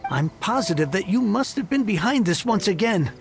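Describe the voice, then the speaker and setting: A man's voice: high-pitched, lively intonation, normal speed, gravelly, over music. A man playing an earnest, humble, effusive heir of a fallen house in a video game. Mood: grateful. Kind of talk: English video game voice acting.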